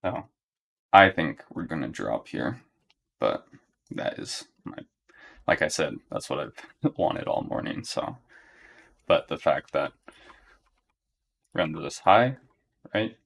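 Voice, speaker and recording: A young man giving live trading commentary to his chat in a relaxed, monotone way, thinking aloud and often checking in with a "right?". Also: takes it slowly, gravelly, pauses often, breathy edge. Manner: monotone, relaxed, low-key. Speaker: day trader streaming live.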